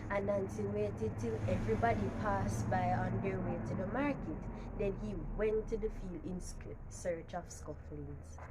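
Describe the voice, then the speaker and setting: A woman's voice: medium pitch, measured pace, hardly any pauses. A woman with a Jamaican accent, narrating a folk tale as a bedtime story. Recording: background noise.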